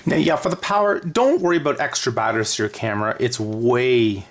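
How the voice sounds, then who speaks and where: Man with creaky voice giving casual tutorial. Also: medium pitch, brisk. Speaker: aviation vlogger giving a how-to. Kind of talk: casual hands-on tutorial, unscripted.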